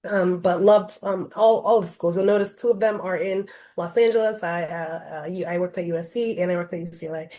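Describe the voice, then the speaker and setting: A woman's voice: fairly low pitch, a little fast, few pauses, warm tone. A woman from Rhode Island giving a casual online presentation on a video call, chatty and loose, with lots of "um" and "like". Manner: chatty, many fillers like um and like.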